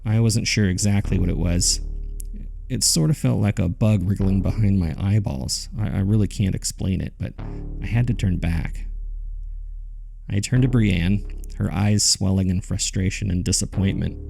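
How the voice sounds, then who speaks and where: An actor playing a haunted man who recounts a terrifying ordeal in grave, deliberate, gravelly first-person narration for a horror audio drama. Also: low voice, dark tone, faint music behind. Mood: shaken, haunted.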